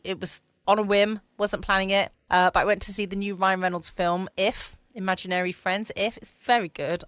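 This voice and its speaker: A woman's voice: medium pitch, fairly bright tone, clear, resonant tone, dry recording. A British woman hosting a late-night podcast from home, chatting casually, self-deprecatingly and putting little questions to listeners. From United Kingdom. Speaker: podcast host. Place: home, close dry recording.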